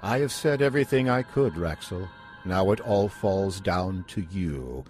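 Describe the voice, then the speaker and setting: An actor playing a grave, formal advocate in a gothic occult audio drama. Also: male voice, low voice, lively intonation, unhurried, darkish tone, faint music behind.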